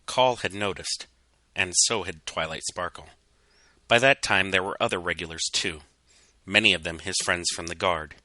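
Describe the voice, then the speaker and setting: A man narrates a scripted story in a measured, dramatic way. His voice sits low but has a very bright, forceful edge. The sound is dry, with little room on it. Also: no echo. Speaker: narrator reading fan fiction.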